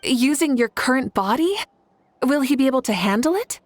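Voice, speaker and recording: A woman's voice: sits high, lively intonation, normal speed, no echo. A woman voicing a confident, composed and gracious warrior leader in a video game. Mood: amused. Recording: background music.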